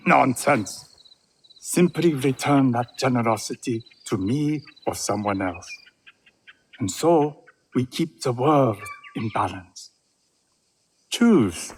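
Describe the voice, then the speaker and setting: An actor playing a serene older Japanese tea master in a game cutscene, with gentle, deliberate, measured phrasing. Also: male voice, medium pitch, expressive, slight background noise. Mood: gracious, generous. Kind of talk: video game cutscene acting.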